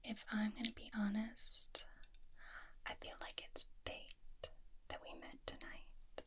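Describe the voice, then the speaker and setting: A woman playing a flirty, free-spirited small-town witch in a breathy whispered ASMR roleplay, coy and teasing, close to the microphone. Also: medium pitch, flat delivery, natural voice. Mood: dreamy, flirtatious. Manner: slow, coy, teasing, with drawn-out pauses. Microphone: close binaural microphone.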